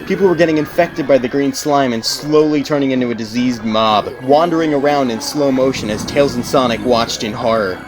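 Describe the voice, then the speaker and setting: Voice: medium pitch, a little fast, few pauses, poor audio. A narrator reading a scripted dramatic audiobook story.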